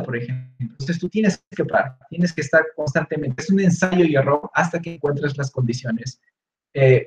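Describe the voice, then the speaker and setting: A young man with a Peruvian Spanish accent, relaxed and explanatory, answering questions in an online talk with many fillers and restarts. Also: on the high side. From Peru. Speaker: guest speaker, researcher. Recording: echoey room, dull lo-fi audio.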